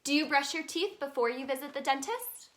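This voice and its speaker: A woman reading a children's story aloud in an expressive, sing-song way for young listeners. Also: high-pitched, a little fast. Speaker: children's storybook reader, in a tooth fairy persona.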